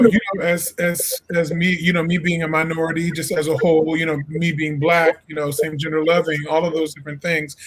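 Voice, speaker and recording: Higher-voiced earnest male jazz singer. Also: poor audio. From Illinois, USA. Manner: earnest, reflective, candid. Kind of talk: casual interview talk.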